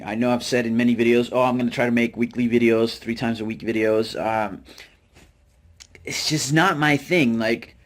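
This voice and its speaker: A man from Utah with an American accent talks casually and candidly as a vlogger, in a hesitant, self-deprecating, rambling way with informal slang. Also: medium pitch. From Utah, United States. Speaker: vlogger, network marketer. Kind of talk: casual, candid talk to camera.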